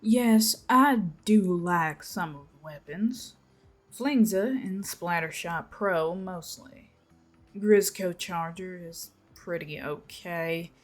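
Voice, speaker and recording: A woman's voice: lowish voice, slow pace, soft background music. A woman voicing a hostile, irritated young female inkling in a story, with a short, snarling delivery. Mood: grudging.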